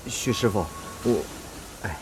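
A man's voice: lowish voice, animated, slow pace, a little hiss or hum, rough recording. A man acting an earnest, eager young factory apprentice in a radio drama, speaking with deference. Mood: eager, flustered. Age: young adult.

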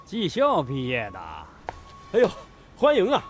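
A man's voice: high voice, wildly swooping pitch, unhurried, soft background music, heavily acted. An actor playing a brash, teasing, boisterous young male factory worker in a radio drama.

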